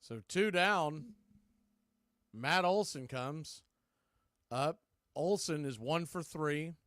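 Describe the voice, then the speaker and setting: A man's voice: on the high side, expressive, slow pace, fairly bright tone, no room sound, clean studio sound. An American man calling live baseball play-by-play as an announcer.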